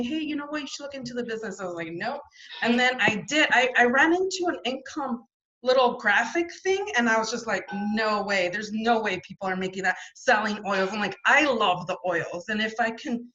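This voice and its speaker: A woman's voice: a little high, pushed and bright, a little hiss or hum. Chatty woman on a lo-fi video call. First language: not French. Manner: chatty, rambling, with frequent restarts and fillers. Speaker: guest. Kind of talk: casual interview storytelling.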